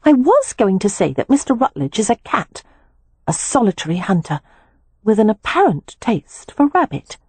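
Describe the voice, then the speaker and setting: A woman's voice: mid-range voice, very animated, normal speed, darkish tone, husky, no echo, pitched above the performer's own voice. A woman voicing a frank, earnest young woman who loves animals, speaking in a matter-of-fact way.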